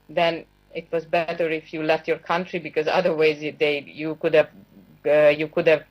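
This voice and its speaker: A woman in her thirties from Hungary speaks as a call-in guest in a lowish voice and pauses often on fillers. The sound is dull and lo-fi, as over a video call. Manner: hesitant, many fillers. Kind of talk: personal account on a talk show.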